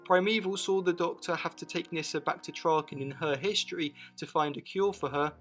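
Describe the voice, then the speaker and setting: A man with a fairly high voice narrates a scripted retrospective. He mixes summary with personal opinion, and soft music plays behind him. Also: fairly even intonation, brisk. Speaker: video essay narrator. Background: music bed.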